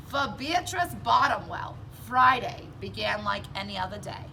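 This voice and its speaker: A woman's voice: mid-range voice, expressive, a little slow, gravelly, sharp tone. A woman reading a children's picture book aloud as co-host, chatting casually and reacting to the story with simple exclamations. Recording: distant microphone, noisy room.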